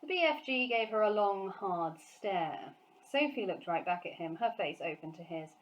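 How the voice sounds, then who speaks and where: Expressive woman reading a children's story. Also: mid-range voice, moderate pace, muffled recording. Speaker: storybook reader, teacher. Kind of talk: expressive scripted narration of a children's book.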